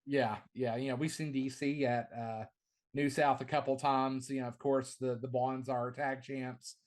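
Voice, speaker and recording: A middle-aged man who calls and announces wrestling, chatting loosely in an interview. His voice sits at a medium pitch, not deep, but it carries well. He talks with eager energy and keeps doubling words as he gets a sentence going. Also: little pitch movement, measured pace. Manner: enthusiastic, repeats words at the start of phrases. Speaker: wrestling commentator and ring announcer. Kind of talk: casual podcast interview.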